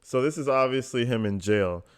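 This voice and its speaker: A man's voice: mid-range voice, moderate pace, clean tone. A man giving casual, blunt reaction commentary, slangy and full of swearing. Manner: blunt, informal, conversational. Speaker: commentator host reacting to a jail call recording.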